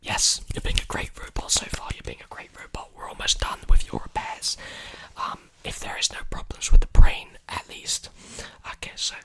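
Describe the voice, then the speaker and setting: A young man whispering breathily and soothingly into a close mic, repeating phrases in an ASMR roleplay as a repair technician. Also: normal speed. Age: young adult. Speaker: ASMR performer playing a robot repair technician. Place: small indoor room with clothes hanging behind. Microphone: large desktop condenser mic, very close.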